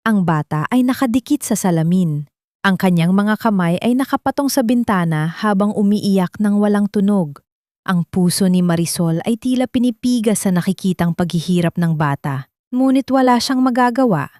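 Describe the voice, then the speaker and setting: A woman's voice: medium pitch, animated, moderate pace, dark tone, dry recording. Woman narrating a dramatic story, dry sound. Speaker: audiobook narrator.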